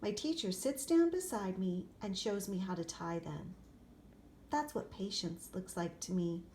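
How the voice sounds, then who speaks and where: A woman reading a children's picture book aloud expressively, in short, deliberate phrases. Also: mid-range voice, a little mellow. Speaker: storybook reader. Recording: clear background noise, room ambience.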